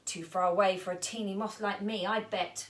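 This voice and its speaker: A woman's voice: mid-range voice, fairly even intonation, normal speed, close to an everyday voice. A woman voicing a plucky, eager young female moth in a children's storybook. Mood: downcast. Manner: eager, exclaiming.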